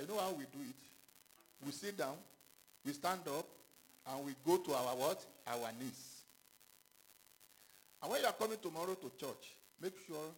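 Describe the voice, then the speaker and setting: A man preaching a sermon, halting and repetitive, with questions to the congregation. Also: fairly high pitch, a little hiss or hum, rough recording. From Ghana. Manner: halting, repeats himself, calls out questions to the congregation. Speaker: pastor.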